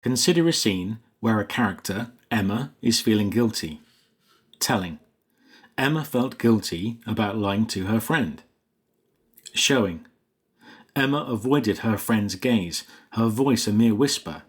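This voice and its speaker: A man narrating a scripted lesson as a writing coach, in a measured, explanatory way. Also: on the low side, lively intonation, slightly rough.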